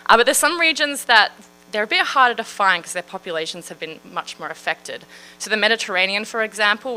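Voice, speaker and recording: An Australian woman in her thirties gives a public science talk. Her voice is bright and forceful. She speaks in a friendly, chatty way and often fills pauses with "um" and "uh". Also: medium pitch, clean tone, poor audio. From Western Australia. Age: 30s. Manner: friendly, chatty, with frequent fillers. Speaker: marine scientist.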